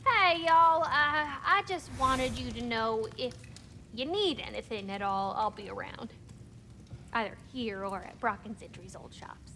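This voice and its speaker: An actress playing a friendly, warm-hearted female blacksmith in a video game, talking in a casual, folksy, encouraging way. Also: on the high side, measured pace, a little hiss or hum. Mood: friendly, gentle. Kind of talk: video game cutscene acting. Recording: game music behind the voice. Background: game music.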